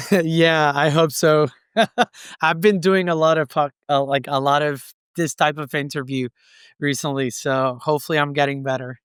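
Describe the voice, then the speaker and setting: A man's voice: fairly high pitch, steady tone, unhurried, a bit bright, clear, resonant tone, no room sound, clean studio sound. A native Spanish-speaking man with a Puerto Rican accent, giving explanatory advice as a guest in a conversational interview. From Puerto Rico. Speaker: podcast guest, consultant.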